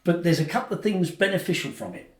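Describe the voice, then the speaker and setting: A man's voice: high voice, big pitch swings, fast, roomy sound. A man co-hosting a podcast in casual chat, playful and teasing with his guests. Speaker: podcast co-host.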